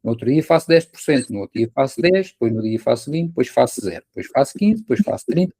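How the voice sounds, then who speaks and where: Mellow-voiced Portuguese man explaining casually. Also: mid-range voice, very animated, brisk, breathy edge, no echo. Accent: European Portuguese. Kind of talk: casual podcast conversation, explaining with everyday examples.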